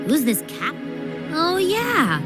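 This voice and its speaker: An actress playing a small, playful, chatty companion in a video game, casual and expressive in a girlish way. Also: high-pitched, takes it slowly, heavily acted. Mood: curious, playful. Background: music.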